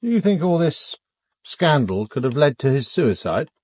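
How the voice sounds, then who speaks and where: British police subordinate, slightly high male voice, dry close sound, respectful, questioning, radio drama. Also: sing-song, moderate pace, a little mellow, slightly rough, no room sound. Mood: inquisitive. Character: deferential, inquisitive male police detective subordinate. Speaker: supporting actor.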